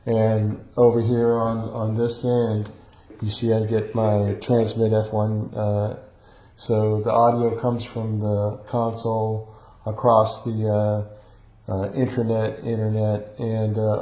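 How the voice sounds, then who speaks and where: A man with a fairly low, very even voice talks through a hands-on technical demo without a script, feeling his way haltingly from phrase to phrase. The sound is noticeably roomy. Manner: halting, hesitant, improvised explaining. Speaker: electronics hobbyist demonstrating equipment. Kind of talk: unscripted technical demonstration. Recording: roomy echo, dull muffled sound.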